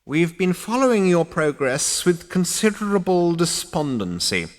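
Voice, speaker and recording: A man voicing a tiny, ancient two-headed male ghost who snaps and scolds in a rasping, screechy voice. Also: a little high, big pitch swings, unhurried, in a large hall, pitched above the performer's own voice. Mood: scolding. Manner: snappish, disdainful scolding.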